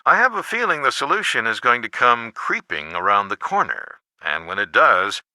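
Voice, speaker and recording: A helpful video game mentor with a low-leaning, very animated voice. He is folksy and coaxing, handing out hints in a knowing, encouraging way. The sound is crisp-edged, bright and close. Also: no echo, exaggerated voice. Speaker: hint giver in an adventure game. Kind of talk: scripted video game voice acting.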